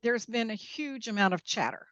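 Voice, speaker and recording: A woman with a fairly high voice speaks as an interview guest, explaining things with emphasis and checking in as she goes. The sound is dry and a little dull, as in a lo-fi podcast recording. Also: moderate pace, fairly bright tone, dry recording. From Peru and Mexico. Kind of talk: conversational interview commentary.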